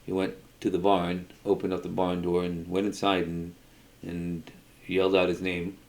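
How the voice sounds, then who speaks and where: A middle-aged man telling an unscripted personal story in a halting way, often repeating words as he goes. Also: low-pitched, completely flat delivery. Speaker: storyteller, video creator.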